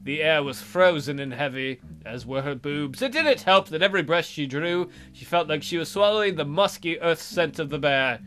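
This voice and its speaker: A man with a fairly high, bright, forceful voice reads a visual novel aloud. He narrates dramatically and drops in joking asides. Faint guitar music plays behind him. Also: normal speed, few pauses. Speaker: let's play host.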